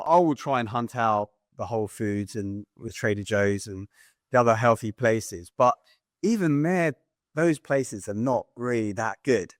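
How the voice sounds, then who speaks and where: Man, podcast host, rambling casual chat, mid-pitched voice, dry close sound. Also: no echo. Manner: chatty, rambling storyteller.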